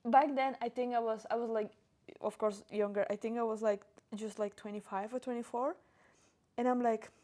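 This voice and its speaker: A young woman with a Colombian accent chatting casually as a podcast co-host, animated and expressive, with lots of "like". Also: on the high side, moderate pace. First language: Spanish. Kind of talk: casual chat, personal anecdotes.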